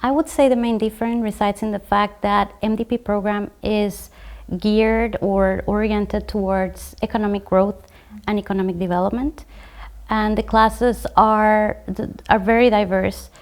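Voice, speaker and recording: A woman from Guatemala, a graduate student, talking about her study program in a measured way, with frequent fillers and restarts. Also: medium pitch, fairly even intonation. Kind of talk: conversational program overview.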